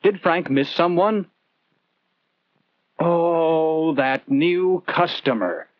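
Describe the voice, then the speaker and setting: Man, 1940s instructional film narration, earnest conversational storyteller, fairly high-pitched, dry, lo-fi vintage film sound. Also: expressive, extremely slow, dry recording. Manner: earnest, conversational, rhetorical questions to the viewer.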